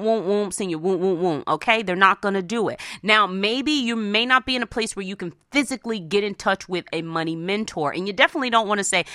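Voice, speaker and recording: A woman radio host with a bright, forceful voice and a dry, close sound. She coaches her listeners conversationally and with emphasis, slipping into little imagined dialogues and checking that they follow. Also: medium pitch, dry recording. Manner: punctuates points with check-in questions. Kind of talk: motivational talk to listeners, conversational.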